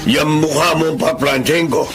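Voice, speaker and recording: Radio drama role: irritable older boss, gravelly, fairly high-set voice, stern and scolding, over music. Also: male voice, fairly even intonation, moderate pace. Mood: irritated, threatening. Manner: stern, scolding, barking orders. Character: irritable, bullying older male boss of a driver. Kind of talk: Filipino radio drama acting.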